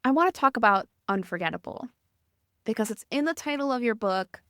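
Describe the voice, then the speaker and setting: A woman from the Midwest with a Midwestern accent, hosting a podcast interview in a gentle, reflective way with a sweet, calming voice. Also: fairly high pitch, a little fast, no room sound, studio quality. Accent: Midwestern American. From American Midwest. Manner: gentle, reflective, asks thoughtful questions. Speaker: podcast host.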